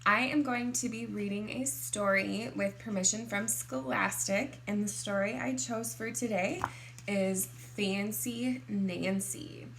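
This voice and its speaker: Slow-paced woman reading to young children. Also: medium pitch, a little hiss or hum. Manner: deliberate, clearly addressed to small children. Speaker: kindergarten teacher reading aloud. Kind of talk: expressive picture-book read-aloud for young children.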